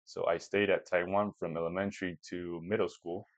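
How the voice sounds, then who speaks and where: A man's voice: sits low, level tone, normal speed, no room sound. A man in his twenties, born in Peru, whose first language is Chinese, answering interview questions casually with many restarts and fillers. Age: 20s. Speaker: podcast guest.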